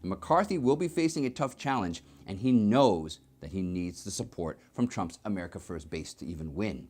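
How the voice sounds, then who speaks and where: A man's voice: low-pitched, animated, normal speed, a little mellow. A man presenting scripted political news commentary in an emphatic, explanatory manner.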